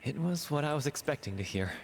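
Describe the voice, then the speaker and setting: A man's voice: a little high, expressive, fast. A man playing an earnest, lovelorn young writer in a video game, speaking in a hesitant, heartfelt way. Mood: confessional, bitter.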